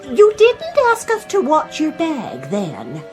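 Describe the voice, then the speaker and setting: An actress playing a kindly, motherly older woman in a radio drama, speaking gently and solicitously. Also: high-pitched, wildly swooping pitch, takes it slowly, music in the background, exaggerated voice. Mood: kindly, concerned.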